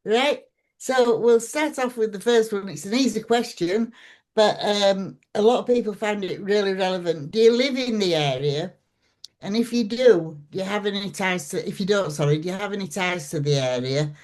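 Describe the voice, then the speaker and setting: Woman chairing a local hustings, loose and informal, mid-pitched voice. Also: moderate pace, a little mellow. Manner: informal, hesitant with fillers. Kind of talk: chairing a public meeting.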